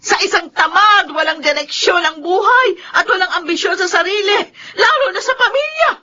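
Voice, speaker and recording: A high, bright Filipino woman's voice, middle-aged, full of remorse and tearful melodrama. She is an estranged sister baring her heart in a radio play, with a slightly muffled sound. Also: lively intonation, normal speed, exaggerated voice. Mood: anguished, defiant. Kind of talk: Filipino radio drama acting. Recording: muffled radio drama, faint music.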